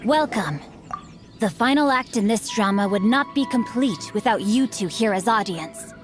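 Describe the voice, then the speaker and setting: A woman's voice: mid-range voice, animated, normal speed, close to an everyday voice. Lady doing a refined bookish rich boy, theatrical and smug, game dialogue with some music under it. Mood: smooth, self-satisfied. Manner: eloquent, formal, theatrical. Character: bookish young nobleman, refined, theatrical, self-satisfied. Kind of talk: video game character acting.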